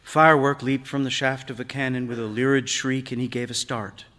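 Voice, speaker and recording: Male story reader, literary fiction, measured, expressive, mid-pitched, live stage, long hall reverb. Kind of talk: literary short story reading before an audience.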